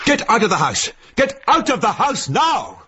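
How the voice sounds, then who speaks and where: An actor playing a proper, exasperated gentleman with a British accent and a dry manner in a radio drama. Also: very high-pitched, big pitch swings, normal speed, full character voice. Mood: alarmed.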